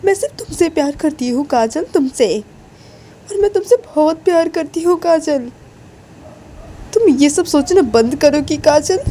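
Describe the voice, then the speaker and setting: A woman's voice: high voice, lively intonation, unhurried, mellow, slight background noise. A woman voicing a hurt, tearful young wife in an audio story, loving and indignant, pleading and repeating herself insistently as she cries. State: crying.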